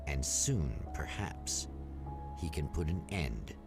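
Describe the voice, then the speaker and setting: A solemn narrator of an old-style mystery TV show, very low in pitch. He is portentous and unhurried, with dramatic swells in his intonation. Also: expressive, gravelly, over loud music, heavily acted. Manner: portentous, measured. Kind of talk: dramatic scripted narration, vintage mystery TV pastiche.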